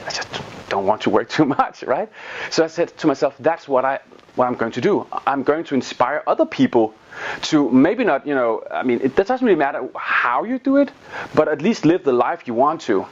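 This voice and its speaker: A man's voice: medium pitch, very animated, brisk. A Danish man, a native Danish speaker using English with a Danish accent, telling his story as a guest in a casual interview. He repeats words and tags his sentences with "right?". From Denmark. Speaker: guest, online business teacher. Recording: dull lo-fi sound.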